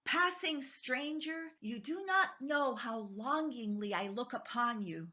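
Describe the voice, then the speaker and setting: A woman recites poetry in a clearly bright voice, pitched a little high. Her delivery is expressive and measured, moving phrase by phrase. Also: faint music behind. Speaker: poetry reader.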